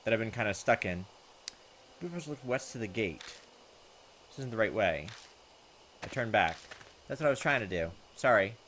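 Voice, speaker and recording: A man's voice: fairly low pitch, lively intonation, normal speed, pauses often, fairly bright tone, slight background noise. A man hosting a let's play, reading visual novel text aloud and chatting in a hesitant, thinking-aloud way. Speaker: gaming video host. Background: game music.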